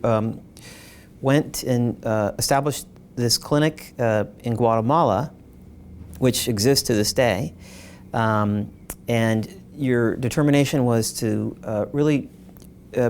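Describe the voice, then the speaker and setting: A man interviewing a retired surgeon, asking long, polite questions with frequent pauses. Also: mid-range voice, a little mellow, slight background noise.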